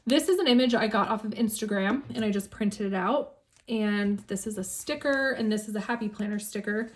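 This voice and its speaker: A woman with a slightly hoarse voice chatting enthusiastically as she shows her planner on camera, laughing now and then. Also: medium pitch. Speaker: planner YouTuber. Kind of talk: casual planner flip-through.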